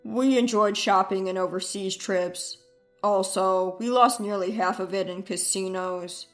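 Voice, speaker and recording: A woman's voice: medium pitch, steady tone, unhurried, soft background music, natural voice. Woman voicing a needy, pleading ex-husband. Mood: desperate. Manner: pleading, plaintive. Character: needy, wheedling male ex-husband. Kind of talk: dramatized storytelling dialogue.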